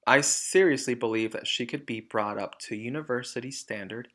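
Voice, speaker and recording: A man voicing a polite, restrained woman schoolteacher in a storybook, speaking in a measured, earnest way. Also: mid-range voice, fairly bright tone, natural voice. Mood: earnest, persuasive.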